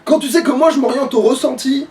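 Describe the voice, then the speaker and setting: A man's voice: very high voice, brisk, darkish tone, a little hiss or hum. A man giving casual gaming commentary, grumbling and swearing to himself and at the game as he plays. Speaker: let's play streamer playing the game. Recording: distant mic with game music behind.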